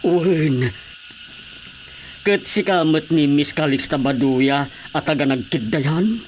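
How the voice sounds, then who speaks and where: A male actor playing a humble older man, halting and pleading for forgiveness in a radio drama. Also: a little high. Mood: uneasy. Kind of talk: Ilocano radio drama acting. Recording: steady background noise.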